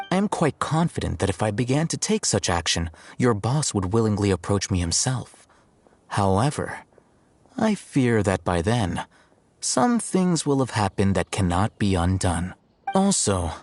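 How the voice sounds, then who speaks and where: A man voicing a composed, formal young scholar in an anime game, articulate and quietly threatening, with music behind. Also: lowish voice. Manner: formal, deliberate, coolly assertive. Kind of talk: English anime game voice acting.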